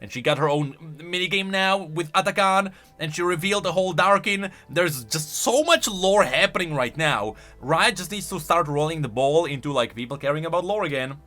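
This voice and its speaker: A man reacts casually to video game lore, thinking out loud. His voice is bright and a little high, with animated swings in pitch. He talks in an expressive, conversational way. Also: moderate pace, few pauses, soft background music. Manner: expressive, conversational, musing aloud.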